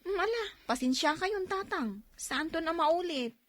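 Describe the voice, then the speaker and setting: A woman's voice: extremely high pitch, expressive, measured pace. A woman playing a patient, soothing Filipino daughter in a radio drama. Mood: soothing, apologetic.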